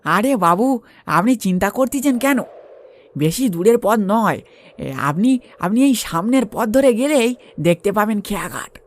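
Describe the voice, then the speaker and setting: A man voicing a sly, obliging tea seller in a cartoon ghost story, with a servile, drawn-out, wheedling way of talking. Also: high-pitched, expressive, pitched above the performer's own voice. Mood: sly, coaxing.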